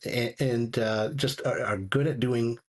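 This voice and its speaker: Male guest reviewer, mid-pitched with a husky edge, conversational, stumbles and restarts as he searches for words. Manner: halting, stammering, repeats words while searching for phrasing. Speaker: guest reviewer of audio dramas. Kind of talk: casual talk, reviewing.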